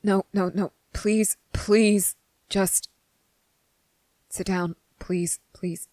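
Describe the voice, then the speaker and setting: A woman voicing an anxious, guilt-ridden young male vampire in intimate ASMR roleplay, breathy and husky, halting and earnest. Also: medium pitch, fairly even intonation, mellow, no room sound. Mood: panicked, pleading. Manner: halting, hesitant, earnest, pleading.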